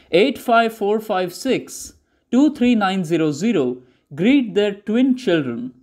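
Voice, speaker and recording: A man with a high-pitched voice narrates a scripted newsreel documentary. He speaks in measured, declarative statements, like official propaganda. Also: darkish tone.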